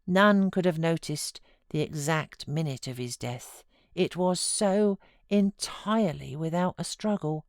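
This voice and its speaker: British lady doing audiobook narration, lowish voice, very dry close sound, expressive storytelling. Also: measured pace, no echo. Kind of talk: dramatic literary narration.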